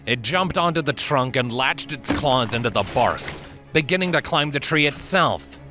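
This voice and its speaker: A man reading a story as a measured, expressive narrator in a dramatic scripted style. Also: mid-range voice. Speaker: fan fiction narrator. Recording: prominent music and effects behind voice. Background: background music, sound effects.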